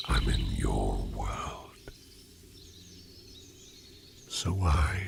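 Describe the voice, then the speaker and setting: Guy doing a polite sleep demon for asmr, breathy half whisper, super slow n formal, birdsong behind him. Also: rumbling low, background noise, full character voice. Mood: secretive, inviting. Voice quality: breathy, often dropping into whisper. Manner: formal, archaic, deliberate phrasing with many pauses. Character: courtly sleep demon disguised as a human man, curious, gentle. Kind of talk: ASMR roleplay, soft intimate half-whispered monologue. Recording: birdsong and forest ambience behind voice. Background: birdsong, outdoor nature sounds.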